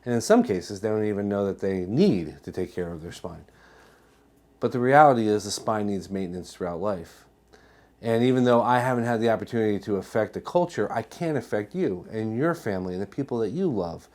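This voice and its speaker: A man's voice: lowish voice, expressive, a little fast, darkish tone. An American man presenting an educational health talk as a chiropractor, emphatic, asking rhetorical questions and repeating his points. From New Jersey, United States.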